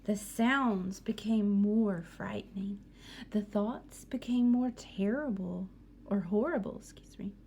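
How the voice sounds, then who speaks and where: A woman's voice: medium pitch, slow pace, a little mellow, a little hiss or hum. A woman teacher reading a picture book aloud to students, expressively, with asides and questions for young listeners.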